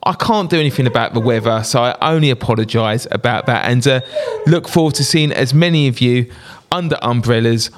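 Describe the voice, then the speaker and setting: Guy doing school podcast notices, friendly and jokey, normal pitch. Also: fairly quick, background noise. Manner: chatty and upbeat, jokey asides. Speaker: school staff member hosting a school podcast. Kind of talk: casual announcements to parents.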